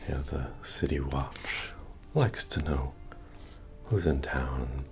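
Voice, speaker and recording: A man playing a friendly, humble medieval fantasy innkeeper in a soft, breathy, soothing ASMR roleplay voice. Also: heavily acted. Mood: friendly, helpful. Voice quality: deep, breathy, often near a whisper. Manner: slow, soothing, gently repetitive. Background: music.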